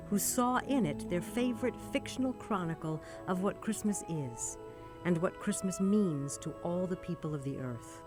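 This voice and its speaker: A woman announcer reading a scripted radio introduction in a measured, formal way. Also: fairly low pitch.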